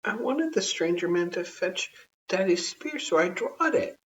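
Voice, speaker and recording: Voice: medium pitch, lively intonation, a little slow, far from the mic, muffled recording, voice pushed up, lightly acted. An adult storyteller voicing a small, outspoken girl, innocent and indignant, in storybook dialogue. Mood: earnest, defensive.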